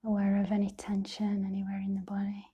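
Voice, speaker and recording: A woman leading a guided meditation in a soft, breathy, half-whispered voice, speaking gently and soothingly in short phrases with long pauses. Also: medium pitch, monotone. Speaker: meditation teacher.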